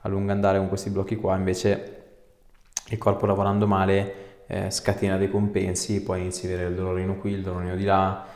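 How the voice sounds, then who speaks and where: A man's voice: sits low, completely flat delivery, a little fast, a little mellow. A man in his thirties working as a chiropractor, explaining things to a patient in a casual, reassuring way. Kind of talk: casual consultation with a patient during treatment. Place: small treatment room, indoors. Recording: echoey small room, background noise and clicks.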